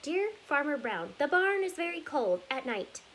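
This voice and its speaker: A woman voicing a herd of cows writing polite but demanding letters, read slowly and primly. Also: high-pitched, sing-song, a bit bright, slight background noise, pitched above the performer's own voice, poor audio. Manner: slow, prim letter-reading.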